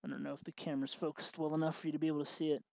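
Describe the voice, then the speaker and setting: Higher-voiced man giving a halting tutorial. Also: flat delivery, vocal fry, dry recording, muffled recording. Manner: halting, unscripted explaining. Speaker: host of DIY electronics tutorial. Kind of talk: casual hands-on tutorial demonstration.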